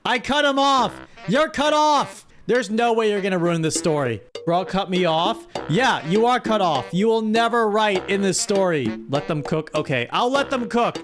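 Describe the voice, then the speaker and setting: High-pitched exasperated male streamer. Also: animated, moderate pace, few pauses, a bit bright, faint music behind. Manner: exasperated, playfully scolding. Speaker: streamer host. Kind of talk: casual comedic commentary, reading viewer submissions aloud.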